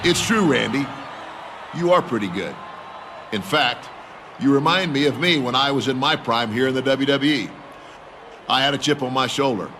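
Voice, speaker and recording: A man's voice: mid-range voice, normal speed, pauses often, bright, forceful tone, faint music behind. A man playing a rich, condescending older wrestling veteran in a video game, smug and lecturing. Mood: nostalgic pride.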